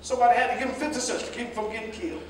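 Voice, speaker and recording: A man's voice: extremely high pitch, racing. A man acting an older, fatherly American jitney driver in a staged play reading, with a folksy, emphatic, lecturing way of talking. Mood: worked up. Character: older railroad pensioner jitney driver, fatherly, plain-spoken adviser. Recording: distant mic, echoey hall, faint noise.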